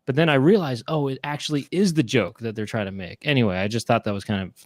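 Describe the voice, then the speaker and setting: A man's voice: mid-range voice, fast talker, no echo, clean studio sound. Chatty man hosting a live stream. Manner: rambling, chatty, self-correcting. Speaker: co-host. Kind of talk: casual live-stream chat. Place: small room at home. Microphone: handheld mic with headphones.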